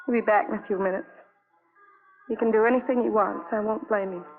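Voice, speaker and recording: An actress playing an anguished, lonely young wife in a 1950s radio drama, speaking in halting, repetitive fragments on a vintage broadcast recording. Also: female voice, mid-range voice, steady tone, phone-quality sound, soft background music, natural voice. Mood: resigned, grieving.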